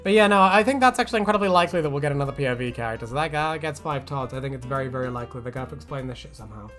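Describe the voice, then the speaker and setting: A man's voice: medium pitch, expressive, rapid-fire, fairly bright tone. A man chatting casually and jokingly as a video host, rambling and swearing freely. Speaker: YouTube host giving reactions to fan predictions. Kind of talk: casual comedic chat. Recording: music playing behind. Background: background music.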